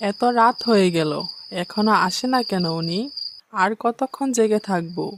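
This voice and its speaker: A woman's voice: mid-range voice, moderate pace, noisy background, close to an everyday voice. A woman voicing a shopkeeper's questioning wife in a cartoon story. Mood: worried, questioning. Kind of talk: Bengali cartoon dialogue.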